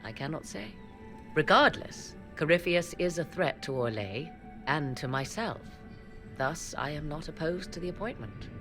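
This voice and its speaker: A woman's voice: low-pitched, expressive, normal speed, a bit bright, soft background music. An actress voicing an aloof, sardonic woman mage, speaking in formal, old-fashioned phrasing with dry, teasing asides. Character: aloof, sardonic woman mage who deals in forbidden arts. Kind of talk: video game cutscene acting.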